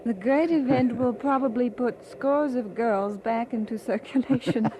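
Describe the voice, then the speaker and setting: A woman's voice: a little high, measured pace, lightly acted. Woman playing a tender young bride, noisy old radio. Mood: amused. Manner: tender, gently teasing. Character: affectionate young bride, gently teasing her husband. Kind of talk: old-time radio drama acting.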